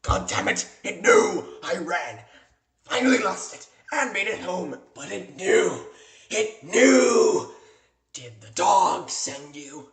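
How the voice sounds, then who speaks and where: Volatile, brooding maniac. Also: male voice, sits high, very animated, takes it slowly, roomy sound, crowd noise, heavily acted. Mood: agitated, menacing. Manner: theatrical, erratic, swinging from hushed to shrieking. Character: unhinged, brooding male homicidal maniac, articulate and volatile. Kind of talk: comic dub acting.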